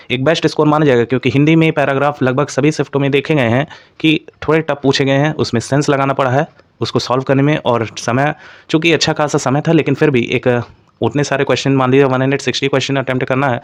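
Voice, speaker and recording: A man's voice: mid-range voice, steady tone, fast talker, no room sound. A man presenting an informal exam analysis to online viewers, mixing in English terms and leaning on repeated filler phrases. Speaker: exam-prep channel presenter.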